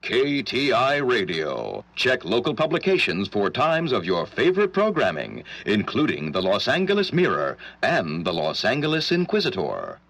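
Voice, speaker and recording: A man's voice: medium pitch, wildly swooping pitch, moderate pace, vocal fry, hardly any pauses, a bit bright, full character voice. A forties American radio announcer giving station identifications. His medium voice swings dramatically up and down in a formal, showy delivery.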